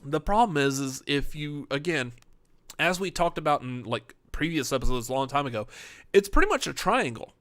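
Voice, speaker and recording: A man co-hosting a live-streamed chat with a loud radio-style voice, tired at the end of the day. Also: on the high side, big pitch swings, normal speed, no echo. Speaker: podcast co-host.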